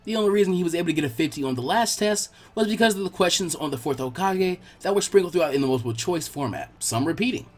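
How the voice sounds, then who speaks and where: A man reading fan fiction aloud as an expressive scripted narration. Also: sits high, fast, few pauses, faint music behind.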